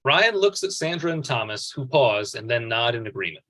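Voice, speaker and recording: A man's voice: medium pitch, sing-song, moderate pace, sharp tone, poor audio. A man reading out a script's stage directions as scripted narration at a table read.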